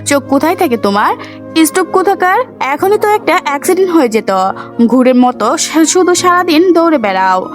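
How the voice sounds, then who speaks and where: Woman voicing a curt, scolding male teacher, high-pitched. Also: lively intonation, fairly quick, soft background music. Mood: scolding, angry. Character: stern, irritable young male college teacher, scolding.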